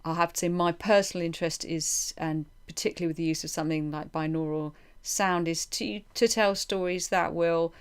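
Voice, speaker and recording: A woman's voice: fairly low pitch, fairly even intonation, brisk, few pauses, smooth. A woman hosting a reflective interview, feeling her way through her phrases with repeated words and roundabout wording. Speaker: interviewer, podcast host.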